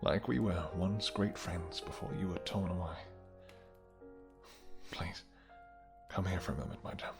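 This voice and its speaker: A man playing a tender, regal vampire king in a romantic fantasy audio roleplay, speaking softly and courteously with a halting delivery. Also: low-pitched, vocal fry, music in the background. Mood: affectionate. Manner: soft, courtly, hesitant, halting phrasing. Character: tender male vampire king, winged, regal and devoted lover.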